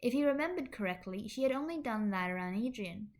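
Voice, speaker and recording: A woman's voice: a little high, expressive, a little fast. A woman narrating fan fiction expressively as a podfic reader.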